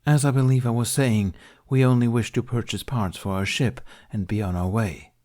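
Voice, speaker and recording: A man voicing a calm, polite warrior-monk negotiator in an audiobook, with a measured, insistent manner. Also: medium pitch, natural voice. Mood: polite, controlled. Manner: measured, formal, impassive.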